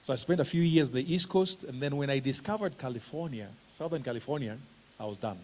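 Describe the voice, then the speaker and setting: A middle-aged Kenyan man with a Kenyan accent giving a relaxed, joking conference talk as a professor of special education, with plenty of "uh" fillers. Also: medium pitch, fast. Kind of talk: conference talk, self-introduction. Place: conference hall.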